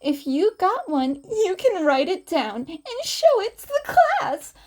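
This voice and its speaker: A woman voicing a playful, smirking woman teacher in an anime story, teasing and coaxing with drawn-out words. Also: very high voice, big pitch swings, pitched above the performer's own voice, heavily acted. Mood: flirty, cheerful.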